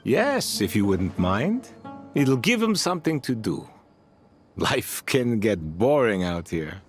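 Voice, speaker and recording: A kindly chief of a desert band in a fantasy game. He speaks very slowly and gently, with a lot of rise and fall, over faint music. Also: on the low side, sing-song. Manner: gentle, deliberate, folksy. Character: kind-hearted, calm desert clan chief, a man.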